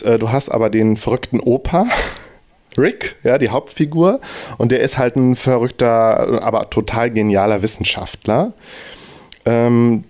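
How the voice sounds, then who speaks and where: A man's voice: medium pitch, warm tone. A man hosting a casual podcast chat, talking in loose, hesitant sentences with many restarts. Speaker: podcast host.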